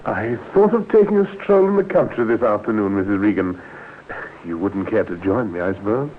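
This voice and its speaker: A tinny, noisy old radio play recording of a courtly gentleman played by a man. His pitch swoops freely as he pours on the politeness. He is amiable and smooth, always a little evasive. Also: animated, moderate pace, natural voice. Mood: inviting. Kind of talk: 1950s radio drama acting.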